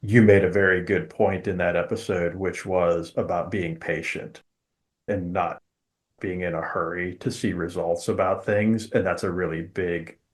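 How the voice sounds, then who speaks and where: A man with a notably low voice hosts a casual podcast. He speaks in a measured, articulate way, choosing slightly formal phrases while staying conversational. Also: level tone. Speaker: podcast host.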